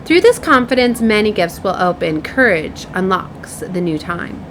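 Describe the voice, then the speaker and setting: A woman's voice: medium pitch, big pitch swings, a little slow, slight background noise, rough recording. A woman hosting a spiritual card reading, reading oracle messages aloud in a mystical, declarative way. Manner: solemn, declarative, mystical phrasing. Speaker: spiritual card reader, host. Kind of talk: reading oracle cards aloud with casual asides.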